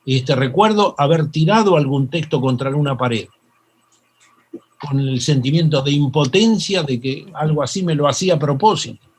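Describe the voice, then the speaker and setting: An older Argentine man with a Rioplatense accent, a psychoanalyst telling stories in an interview, halting with many fillers and restarts. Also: a little high, slightly rough. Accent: Rioplatense Spanish. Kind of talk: reflective interview storytelling.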